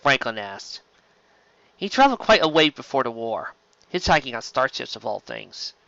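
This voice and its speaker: A man's voice: medium pitch, sing-song, normal speed, vocal fry, pushed and bright, no echo, highs cut off. A man reading science fiction aloud as dramatic scripted narration. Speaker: reader of fan fiction.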